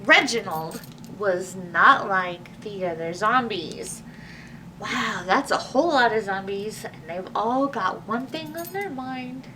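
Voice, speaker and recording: Animated, bright-voiced woman hosting children's storytime. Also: medium pitch, slow pace. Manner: animated, exclamatory, talking to young children. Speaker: library storytime host. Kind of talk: children's storytime presenting and read-aloud. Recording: distant microphone with faint background noise.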